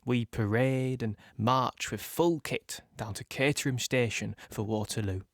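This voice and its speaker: An actor playing a young British tank gunner in an audio play monologue, plain-spoken and reflective, in short halting phrases. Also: male voice, medium pitch, dry recording, studio quality.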